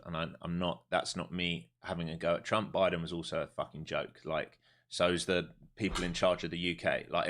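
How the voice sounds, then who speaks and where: A man's voice: deep voice, a bit bright. A man, chatting casually and bluntly while trading live on stream. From Europe. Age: 40s. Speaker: livestream day trader. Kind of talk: live market commentary and chat.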